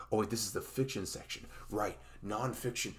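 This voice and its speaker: A man playing a dorky, smitten young best friend in an intimate ASMR roleplay, speaking in a halting, awkward, rambling way. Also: fairly low pitch, darkish tone. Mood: goofy, playful.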